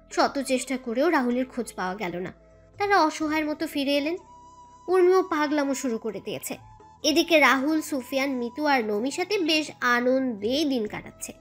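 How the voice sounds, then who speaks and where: A woman reading scripted story narration as the narrator. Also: high-pitched.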